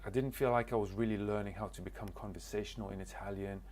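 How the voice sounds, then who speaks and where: A man's voice: fairly low pitch, steady tone, fast, a little mellow, slight background noise. A man from Cornwall, introducing himself to camera in a casual, hesitant way, often stopping to search for words. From Cornwall, England. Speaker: language learner.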